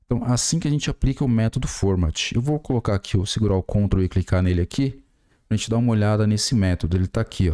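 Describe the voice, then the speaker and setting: A man's voice: lowish voice, mellow. A Brazilian man in his forties explaining a coding tutorial step by step while typing, with many fillers. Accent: Brazilian Portuguese. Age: 40s. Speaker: programming tutor. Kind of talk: screen-recorded coding tutorial.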